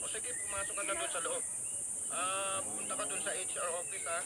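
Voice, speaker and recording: A man's voice: sits high, flat delivery, moderate pace. A man playing a helpful, casual worker giving instructions to a newcomer in a radio drama, informal in manner. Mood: helpful, instructing. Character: helpful, casual male worker directing a job applicant. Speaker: actor. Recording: very noisy radio drama recording.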